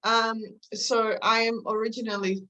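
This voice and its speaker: A woman with a mixed Eastern European and Australian accent, telling her story in a halting, reflective way as an interview guest. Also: fairly high pitch, flat delivery, pushed and bright, dull audio. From former Yugoslavia, moved to Australia at eleven. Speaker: podcast guest, counselor.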